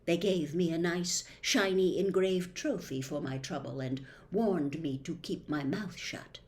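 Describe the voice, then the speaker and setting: A woman voicing the persuasive memory of a young man trapped in a diary, speaking in a hushed, half-whispered way. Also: fairly low pitch, unhurried, close to an everyday voice. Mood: secretive. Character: young male phantom in a diary, secretive and persuasive.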